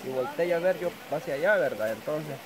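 A fairly high male voice that rises and falls freely, telling casual stories to friends. He acts out bits of dialogue, with people chattering around him in the open air. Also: animated, brisk, poor audio. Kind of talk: casual joking storytelling among friends. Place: outdoors in woodland. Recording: outdoor group chatter behind.

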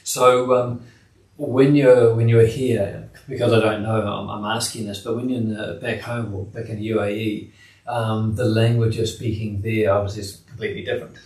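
An older man hosting a casual podcast in a living room, asking long, rambling questions with lots of gentle yeses. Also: lowish voice, flat delivery, moderate pace. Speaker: podcast host. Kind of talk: casual interview chat. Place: living room, indoors, medium size. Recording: echoey room, from a distance.

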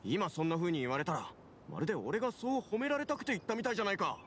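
A man's voice: high-pitched, animated, very fast, a bit bright, slight background noise. A man playing a stern, worried, protective big brother in a Japanese anime game. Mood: flustered.

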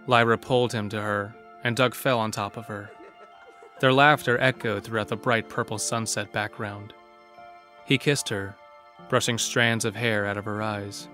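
A man's voice: lowish voice, steady tone, normal speed, sharp tone. A man reading scripted narration for a horror story as its narrator. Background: faint music.

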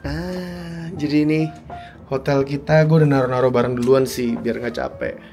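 A man's voice: mid-range voice, steady tone, normal speed, a little mellow, soft background music. A young man, chatting to a handheld camera as he eats, travels and shows off a hotel room. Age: young adult. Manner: chatty, talking to camera while walking. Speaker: vlogger. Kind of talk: casual travel vlog chat. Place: airport food court, car, small hotel room. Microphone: handheld camera at arm's length.

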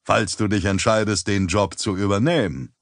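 A man's voice: moderate pace, no room sound, clean studio sound, close to an everyday voice. A man voicing a jovial, heavyset middle-aged male crime boss with an effusive, hearty manner. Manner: effusive, booming, back-slapping.

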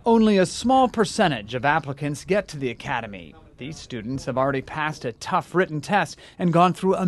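A man with an American accent delivering scripted television news narration as a reporter. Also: fairly high pitch.

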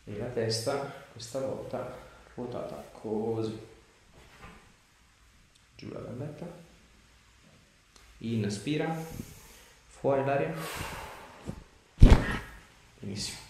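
A man's voice: medium pitch, slow pace, pauses often, a little mellow. A man in his thirties, a chiropractor, giving a patient short, reassuring instructions during treatment. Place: small treatment room, indoors. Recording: very roomy, distant mic, faint noise.

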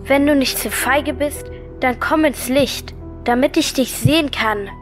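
A woman's voice: sits high, measured pace, faint music behind. A woman playing a streetwise homeless girl in an audio drama, curt and dismissive. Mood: defiant, wary.

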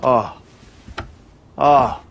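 An actor playing a weary, sarcastic middle-aged American career criminal in a cinematic video game. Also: male voice, medium pitch, drawn-out words, vocal fry, a bit bright, highs cut off, a little hiss or hum, full character voice. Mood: pleased, sentimental.

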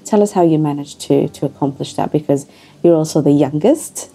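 Host: woman, deep mellow voice, studio talk show interviewer, affirming, faint music under. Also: brisk, slightly rough. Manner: warm formal welcomes, frequent affirming interjections. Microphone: table microphone.

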